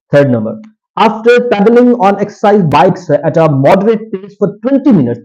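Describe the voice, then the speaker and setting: Pakistani male presenter, Potohari and Urdu native, higher-pitched mellow voice, halting informal lecture delivery, dull recording. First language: Potohari Punjabi and Urdu. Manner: halting, hesitant second-language English with self-corrections. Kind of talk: informal lecture, partly reading research aloud.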